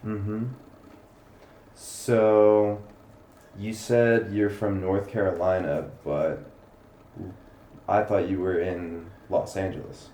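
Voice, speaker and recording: Low-voiced guy interviewing, echoey room. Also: steady tone, takes it slowly, long pauses, dark tone. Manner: slangy, laid-back agreement. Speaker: interviewer for a music channel. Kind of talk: casual chat interview. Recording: roomy echo, faint background noise.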